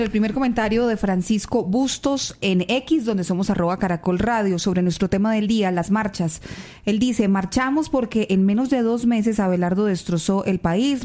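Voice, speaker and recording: Casting: female radio voice, dark and mellow, medium pitch, measured reading. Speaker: radio panelist reading listener comments.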